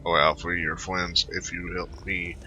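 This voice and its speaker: A man's voice: sits low, little pitch movement, measured pace, bright, forceful tone, slight background noise. A man hosting a game playthrough, reading dialogue choices aloud and commenting casually. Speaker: gaming video host.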